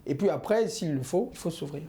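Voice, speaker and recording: A man's voice: a little high, animated, brisk, warm tone. A young man making his argument as a panel guest, hesitant and full of fillers and restarts. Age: young adult. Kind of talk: panel discussion.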